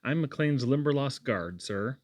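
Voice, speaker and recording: A man's voice: mid-range voice, lively intonation, measured pace, no echo, studio quality, close to an everyday voice. A man voicing a proud, polite young lad in a storybook, speaking earnestly and directly.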